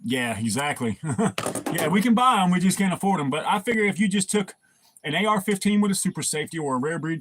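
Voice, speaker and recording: A man's voice: fairly high pitch, fairly bright tone, slightly rough, dry recording. A man hosting a casual livestream, laid-back and swearing, laughing often and greeting viewers by name. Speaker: livestream host.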